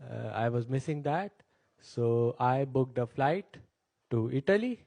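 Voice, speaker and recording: A man performing a stand-up set, speaking slowly and deliberately with pauses before the punchlines. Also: mid-range voice, animated, gravelly, warm tone, a little hiss or hum. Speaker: stand-up comedian on stage.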